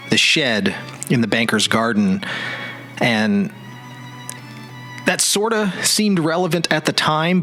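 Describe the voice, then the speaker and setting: A man's voice: medium pitch, pushed and bright. A man hosting a solo podcast in a casual, reflective and rambling way, often searching for words. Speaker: podcast host. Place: home office indoors. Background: music.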